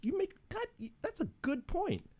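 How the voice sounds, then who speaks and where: A man's voice: very high voice, sing-song, normal speed, a crowd in the background. A young man chatting casually about an episode in a laid-back, easygoing way. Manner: laid-back, says dude a lot. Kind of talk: casual review chat.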